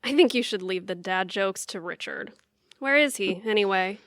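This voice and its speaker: Woman playing young adult daughter, pointed, exasperated, crisp forceful voice, comedy-drama, dry close recording. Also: medium pitch, moderate pace, dry recording, close to an everyday voice. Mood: dry, evasive. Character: touchy, sardonic grown daughter, freshly divorced. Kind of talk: audio theatre comedy-drama acting.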